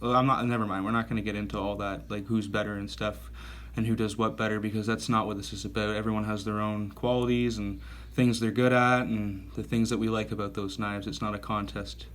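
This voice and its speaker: A man's voice: lowish voice, little pitch movement, brisk, hardly any pauses, fairly bright tone. A man in his thirties giving a casual gear review, talking in a rambling, self-correcting and enthusiastic way. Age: 30s. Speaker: knife reviewer.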